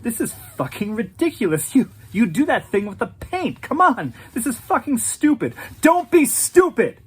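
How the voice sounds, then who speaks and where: Man voicing a frantic middle-aged art dealer, shrill and high, breathy, swearing, loud. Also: sing-song, a little slow, full character voice. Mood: enraged.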